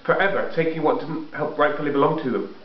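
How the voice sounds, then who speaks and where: A man's voice: on the high side, fast. A man reading a children's story aloud. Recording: echoey room, muffled home recording.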